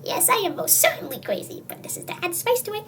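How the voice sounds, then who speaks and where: Young reader putting on a thin, high-pitched sweet old granny. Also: big pitch swings, fast talker, a bit bright, distant mic, a little hiss or hum, full character voice. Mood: sing-song, eager. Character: sweet, slightly crazy elderly grandmother cooking.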